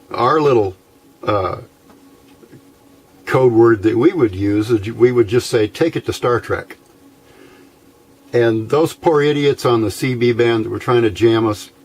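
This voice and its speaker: An older American man with a fairly low voice talks through a hobby electronics project. His delivery is folksy and unhurried, broken into short phrases with frequent pauses, like an informal explanation from a longtime tinkerer. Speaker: hobbyist radio builder, presenter. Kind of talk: informal technical explanation with storytelling.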